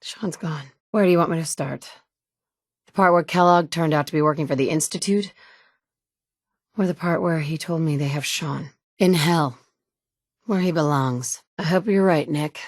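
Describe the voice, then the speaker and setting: A woman's voice: low-pitched, normal speed, dry recording. An actress in her thirties voicing a game's player heroine, a determined mother searching for her son, with dry wit and a blunt, curt edge. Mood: determined, wry. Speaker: player character. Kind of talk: game dialogue lines. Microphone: close studio mic.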